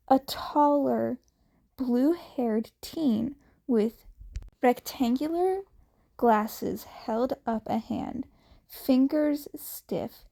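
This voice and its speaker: A woman narrating fan fiction as a scripted audiobook, reading carefully and a little haltingly. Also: on the high side, dark tone.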